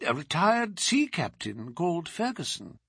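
A man's voice: on the high side, very animated, a little slow, a bit bright, slightly rough, no room sound, studio quality, pitched above the performer's own voice, exaggerated voice. A man voicing a genteel lady of the house in an audiobook, earnest, proper and easily shocked. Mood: polite.

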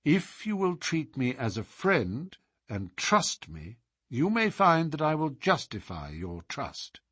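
A man voicing a courteous, assured gentleman detective with a measured, persuasive manner in an audiobook story. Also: a little high, very animated, husky, no echo, studio quality, heavily acted.

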